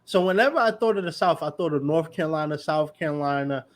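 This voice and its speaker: A man's voice: on the high side, quick pace. A man from New York hosting an interview, asking curious, rambling questions full of restarts and fillers. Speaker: podcast host. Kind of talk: casual interview conversation.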